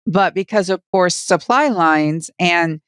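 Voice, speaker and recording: Female podcast co-host, American. Very clean, smooth tone, close dry sound, casual and emphatic discussion. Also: lowish voice, slow pace, dry recording.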